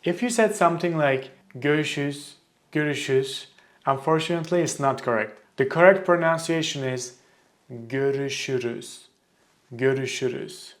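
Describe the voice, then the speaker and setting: A man with a Turkish accent, a Turkish teacher giving a language lesson, speaking clearly and deliberately and slowing down to model words. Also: mid-range voice.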